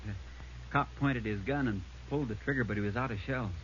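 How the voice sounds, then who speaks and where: A man's voice: medium pitch, fast, a bit bright, close to an everyday voice. Plainspoken male eyewitness played by an actor, earnest conversational account, muffled vintage radio police drama. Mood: awed, earnest. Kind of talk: 1950s radio drama, conversational witness narration. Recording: dull, noisy old radio recording.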